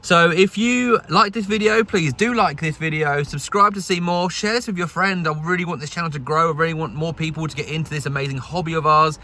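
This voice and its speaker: A male vlogger with a voice pitched a little higher than most men talks casually as host of a radio hobby video. He is recorded on a phone, out and about in and around a vehicle. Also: fairly quick, few pauses, bright, forceful tone. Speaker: amateur radio vlog host. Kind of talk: casual vlog narration with radio calls. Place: outdoors and in a vehicle. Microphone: phone camera.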